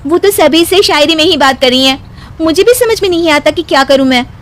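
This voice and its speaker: A woman's voice: sits high, expressive, fairly quick, a bit bright. A woman voicing a feisty, exasperated young daughter-in-law with a pointed way of talking in a cartoon comedy story. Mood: concerned. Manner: pointed, complaining.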